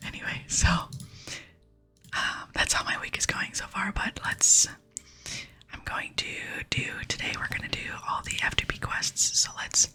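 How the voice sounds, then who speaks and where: A young adult woman with an American accent whispers softly and intimately in a casual, rambling ASMR chat as a gaming host, close to the microphone. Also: medium pitch. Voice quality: breathy, unvoiced whisper.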